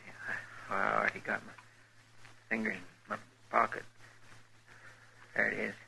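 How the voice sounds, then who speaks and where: A gravelly folksy Old West deputy, nervous and loyal, with a hesitant stammer. It sounds like 1950s radio western acting on a noisy vintage recording. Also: male voice, lowish voice, monotone, a little slow, sharp tone, exaggerated voice.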